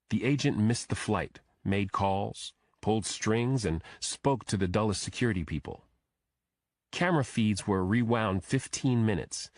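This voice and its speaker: A man's voice: fairly low pitch, no echo. A man reading a scripted thriller audiobook as its narrator.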